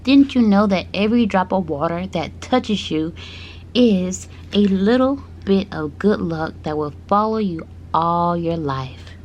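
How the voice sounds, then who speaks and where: A woman voicing a cheerful, kindly old granny bear in a storybook, gently encouraging as she explains. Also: lowish voice, expressive, measured pace, muffled recording, a little hiss or hum. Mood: kindly reassuring.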